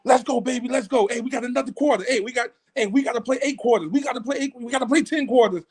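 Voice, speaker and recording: An animated man talking football as a coach, with a high-pitched voice. He breaks down plays in casual speech and jumps into lively sideline-style voices. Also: fast talker, husky. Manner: animated, acts out coaches' talk. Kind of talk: casual sports analysis.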